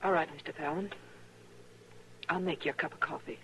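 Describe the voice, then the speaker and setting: An actress playing a proper, nervous and defensive frontier wife in an old-time radio Western. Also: female voice, sits low, fairly even intonation, a little fast, fairly bright tone. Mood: uneasy, polite. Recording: noisy vintage radio drama recording.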